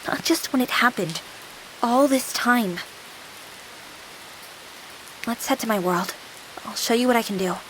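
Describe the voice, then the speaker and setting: Game role: courteous AI girl, gentle, measured, caring, slight rainy hiss. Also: fairly high pitch, poor audio. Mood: tender. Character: polite female AI companion, girlish, gently caring. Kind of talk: video game voice acting.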